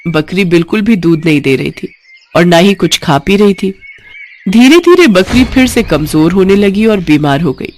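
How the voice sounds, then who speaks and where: A woman's voice: lowish voice, expressive, moderate pace, darkish tone, slight background noise. A woman reading a scripted bedtime moral story as its narrator.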